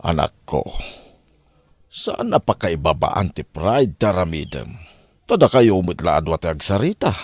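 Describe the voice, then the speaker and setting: A man acting as a grave Ilocano father who wants peace. His very low voice moves expressively in a close, dry recording, counselling in family radio drama style. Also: big pitch swings, unhurried, breathy edge, dry recording, exaggerated voice. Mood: earnest, advising. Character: grave, conciliatory middle-aged father.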